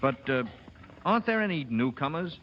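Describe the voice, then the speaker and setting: Actor as a guarded fugitive, reverberant radio. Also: male voice, fairly high pitch, animated, normal speed, slight background noise, natural voice. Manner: hesitant, polite. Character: polite, guarded fugitive young man. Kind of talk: 1930s radio acting. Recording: muffled, reverberant 1930s radio broadcast.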